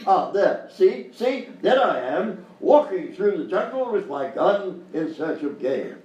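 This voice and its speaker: An actor playing a doddering, forgetful elderly gentleman explorer in a live radio-style comedy sketch, rambling theatrically. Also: sits high, very animated, a little slow, dull audio. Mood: rambling reminiscence. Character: doddering elderly gentleman big-game explorer, muddled raconteur. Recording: roomy live stage, distant mic, faint noise.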